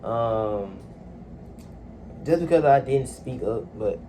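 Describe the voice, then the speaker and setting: A young man speaks into a phone in a quiet room at home, his voice neither high nor low. He talks haltingly, trailing off and filling gaps with ums. A faint hiss sits under the recording. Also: lively intonation. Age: young adult. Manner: soft-spoken, hesitant, many fillers. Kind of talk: casual personal monologue.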